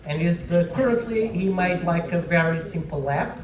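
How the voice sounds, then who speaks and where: Echoey, higher-pitched Portuguese-accented male lecturer. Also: normal speed, noisy background. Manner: keeps checking in with short tag questions like "right?" and "okay?". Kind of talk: informal classroom lecture to students. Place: indoor lecture room with audience. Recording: echoey room, distant microphone.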